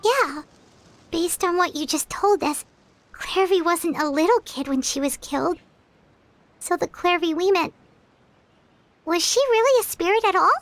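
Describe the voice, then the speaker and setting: A woman voicing a tiny, childlike fairy companion in a video game, with a squeaky voice and an innocent, earnest, questioning manner. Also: animated, unhurried, long pauses, heavily acted. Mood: curious, realizing. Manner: naive, questioning. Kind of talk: cartoonish video game dialogue.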